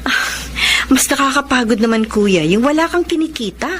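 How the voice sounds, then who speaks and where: Lively resilient widowed mother. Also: high voice, normal speed, slight background noise. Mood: upbeat, resolute. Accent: Filipino. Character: resilient, cheerful widowed mother working as masseuse. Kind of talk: Filipino radio drama acting.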